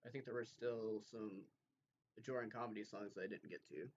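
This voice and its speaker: A man's voice: fairly low pitch, fairly even intonation, normal speed, muffled recording, a little hiss or hum. A man streaming, talking casually as if to a chat audience.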